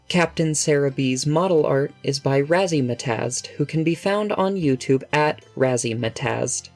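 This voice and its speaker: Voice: mid-range voice, a little mellow. Scripted podcast announcer over guitar music. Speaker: credits announcer. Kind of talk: scripted narration of podcast credits.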